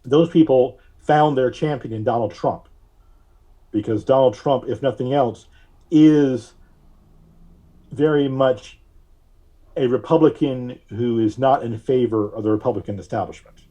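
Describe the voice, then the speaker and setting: A man speaking as a podcast guest, giving conversational political commentary in a measured, wry, halting way. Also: medium pitch, mellow.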